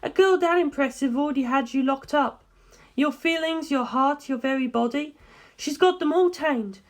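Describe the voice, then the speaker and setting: A woman's voice: sits high, normal speed, lightly acted. A woman voicing a talkative, assertive young woman with a teasing streak in a dramatic audiobook. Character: Darlington, sharp teasing young English woman. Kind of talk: dramatic audiobook character dialogue.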